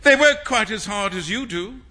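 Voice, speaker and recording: Man reading as a soft-hearted young gent in a storybook, gentle earnest voice, slightly husky. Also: sits high, lively intonation, moderate pace, pitched above the performer's own voice, exaggerated voice. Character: kind-hearted young gentleman, easygoing and generous.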